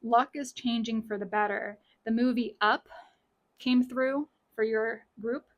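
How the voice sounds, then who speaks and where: Raspy woman reading tarot cards. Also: a little high, fairly even intonation, a little slow, dull audio. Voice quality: raspy, scratchy. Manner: chatty, self-correcting, repeats key lines. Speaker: tarot reader. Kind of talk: casual pick-a-card tarot reading. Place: small home office.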